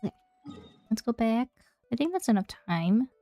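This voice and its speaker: A woman's voice: fairly high pitch, big pitch swings, normal speed, dark tone, dry recording. A young woman giving casual, chatty and playful gameplay commentary as a streamer. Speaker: game streamer. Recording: faint game music behind. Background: video game music.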